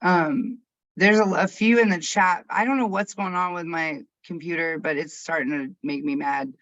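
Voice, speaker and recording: A person of about forty from Texas, whose first language was Arabic, leading a workshop chat on a video call in an open, chatty way with quick asides. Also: mid-range voice, steady tone, fairly bright tone, dry recording. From Texas, USA. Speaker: workshop facilitator. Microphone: computer mic on a video call. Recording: dull, dry video call audio.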